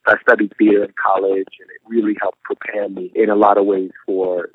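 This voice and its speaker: A man's voice: lowish voice, flat delivery, no echo, narrow, tinny audio. A man in his thirties from New York, raised in Philadelphia, answering interview questions as a guest actor in a thoughtful, storytelling way. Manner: thoughtful, unhurried storytelling with small restarts.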